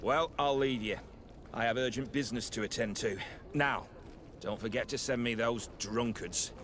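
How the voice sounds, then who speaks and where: An actor playing a smug, corrupt guard lieutenant in a video game, cocky and quietly threatening. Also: male voice, mid-range voice, moderate pace, close to an everyday voice. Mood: smug menace. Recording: noisy ambient sound behind the voice.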